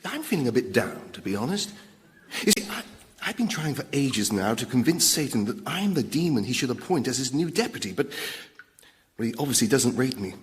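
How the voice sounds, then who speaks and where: A man's voice: mid-range voice, sing-song, brisk, close to an everyday voice. A man voicing a chatty, polite, dim and needy demon torturer in a radio sitcom, matter-of-fact yet self-pitying. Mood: downcast. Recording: long hall-like reverb.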